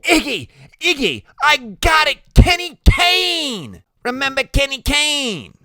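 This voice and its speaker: A man voicing a giggly, mean, beer-drinking woman in an audiobook story, excitable and taunting, with slangy exclamations. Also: normal speed, no echo, studio quality, exaggerated voice. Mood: excited. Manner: shrill exclaiming, teasing, slangy.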